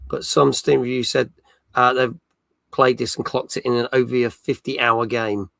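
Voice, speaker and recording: A man's voice: mid-range voice, little pitch movement, normal speed, gravelly, fairly bright tone, no echo. A British man in his forties hosting a gaming live stream, chatting casually and reading out viewer comments. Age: 40s.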